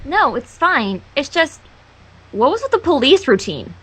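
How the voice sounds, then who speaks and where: Bookish, nervous cartoon unicorn girl acted by a woman, high and bright, flustered and evasive. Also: big pitch swings, heavily acted. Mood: puzzled. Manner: flustered, halting, over-innocent.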